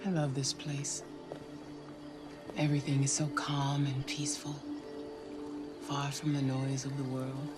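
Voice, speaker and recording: An actress playing a calm, authoritative female handler who speaks in a soft, measured, probing way, in a video game cutscene. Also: a bass voice. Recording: game score music behind. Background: music.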